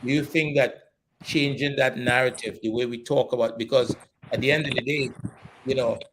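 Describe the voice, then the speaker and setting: A man from Trinidad and Tobago, hosting a sports discussion in a deliberate, earnest way with probing questions. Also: mid-range voice, fairly even intonation, fairly bright tone, a little hiss or hum, low-quality recording. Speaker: sports show host. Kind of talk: discussion and interviewing.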